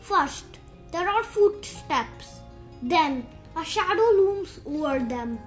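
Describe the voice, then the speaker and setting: A very high, childlike voice reading a children's storybook aloud in an expressive, dramatic way with pauses for suspense. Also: faint music behind. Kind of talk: read-aloud storybook narration.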